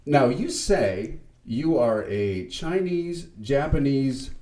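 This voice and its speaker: A man co-hosting a podcast, chatting casually and often agreeing with a quick "right". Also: medium pitch, lively intonation, dark tone, no room sound. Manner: affirming, says "right" often, reads a quote aloud. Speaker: podcast co-host.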